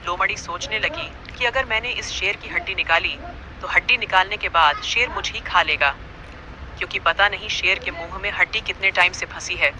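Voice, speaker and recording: A man's voice: high voice, pushed and bright, slight background noise, poor audio. A man narrating a scripted animal fable as a storyteller.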